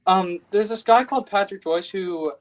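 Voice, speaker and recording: Eager eighteen-year-old guy, higher voice. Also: moderate pace, rough recording. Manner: eager, repeats and restarts phrases. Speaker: guest. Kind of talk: casual chat.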